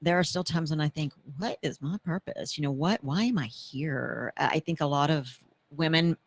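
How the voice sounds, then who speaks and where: Woman in her fifties, radio talk host, gravelly voice, earnest and reflective. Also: low-pitched, normal speed. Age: 50s. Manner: earnest, reflective, speaks of faith in God. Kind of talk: radio talk show hosting.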